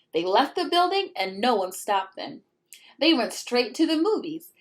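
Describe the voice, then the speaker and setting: Slow, very expressive woman storyteller. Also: a little high. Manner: expressive, pauses to question young listeners. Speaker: read-aloud storyteller. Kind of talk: children's picture book read-aloud.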